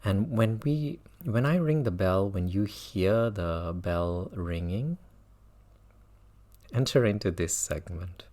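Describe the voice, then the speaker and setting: Slow, low-voiced man guiding meditation. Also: expressive, dark tone. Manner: measured, with long pauses and repeated phrases. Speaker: meditation teacher. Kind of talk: guided mindfulness meditation.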